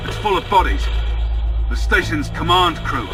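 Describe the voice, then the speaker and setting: An actor playing a nervous military sergeant in a game, urgently reporting from a boarding party. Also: male voice, a little high, lively intonation, normal speed, close to an everyday voice. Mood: uneasy, grim. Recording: distant voice under loud music.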